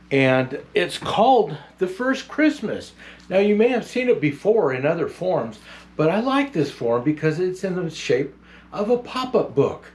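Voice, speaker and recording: A man playing a jolly, kindly old Santa Claus, reading a picture book to children in an unhurried, grandfatherly way with chatty asides. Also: high voice. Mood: jolly, welcoming.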